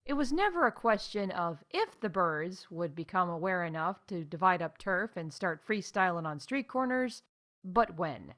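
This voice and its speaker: A woman voicing a weary, matter-of-fact animal park expert, explaining in deadpan for a comedy audio show. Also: fairly low pitch, normal speed. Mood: dry, weary.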